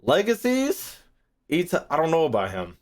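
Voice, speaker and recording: A man's voice: fairly high pitch, wildly swooping pitch, normal speed. A man thinking aloud as a gaming streamer, casual and slangy, swearing freely, repeating phrases and trailing off mid-thought.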